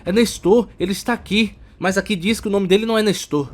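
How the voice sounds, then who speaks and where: A man's voice: high-pitched, fast, voice pushed up, natural voice. A man voicing an anxious, suspicious young woman with an urgent, insistent manner in an audiobook, with music behind. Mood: urgent, accusing. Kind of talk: audiobook character acting.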